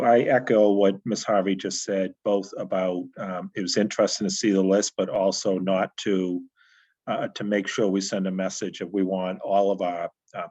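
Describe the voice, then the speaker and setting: A man on a committee speaking in a halting way, full of fillers. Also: low voice, darkish tone, husky. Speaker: committee member. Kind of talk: meeting discussion.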